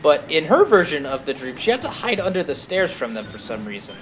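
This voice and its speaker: A man chatting casually as he streams a game, telling stories haltingly and drifting off on tangents, with some noise in the recording. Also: on the high side, sing-song, fairly bright tone, poor audio. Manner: halting, tangent-prone storytelling. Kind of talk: casual rambling chat over gameplay.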